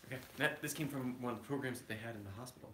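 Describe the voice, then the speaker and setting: Male storybook reader, dad type: average pitch, casual, slightly halting, faintly noisy home recording. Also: fairly bright tone. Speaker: father reading aloud to child. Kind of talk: casual storybook read-aloud.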